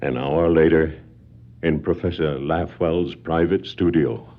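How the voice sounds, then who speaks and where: A man narrating a scripted superhero drama in 1960s radio style, suspenseful, with deliberate dramatic pauses. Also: a bass voice, fairly even intonation. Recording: dull 1960s vinyl record sound.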